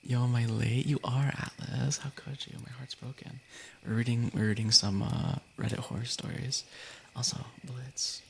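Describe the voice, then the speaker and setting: Creaky-voiced man reading stories on stream. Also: medium pitch, fairly even intonation, moderate pace, a little hiss or hum. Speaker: livestream host reading stories. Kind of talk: casual story reading on a livestream.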